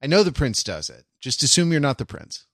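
Podcast guy, average pitch, dry joking asides, no room sound. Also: sing-song, fairly quick, no echo. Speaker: podcast host. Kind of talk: casual joking chat.

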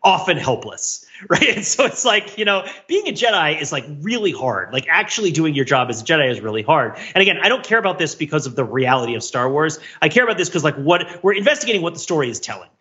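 A man's voice: on the high side, sing-song, fast, hardly any pauses, pushed and bright, dull audio. A man hosting a casual pop-culture discussion podcast, talking emphatically and repeating phrases for effect. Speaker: podcast host.

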